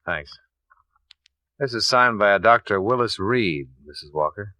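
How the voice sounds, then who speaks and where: Brisk American freelance investigator, 1950s radio detective drama, sharp bright delivery, old broadcast sound. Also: male voice, fairly low pitch, expressive, no room sound. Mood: probing. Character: brisk male freelance insurance investigator, cool and probing. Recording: vintage radio broadcast, faint music.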